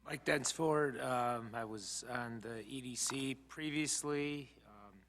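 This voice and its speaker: A man's voice: medium pitch, takes it slowly, fairly bright tone. A man, a commission member, casually introducing himself at a meeting in a hesitant, informal manner. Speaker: commission member, small business owner. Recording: reverberant meeting room.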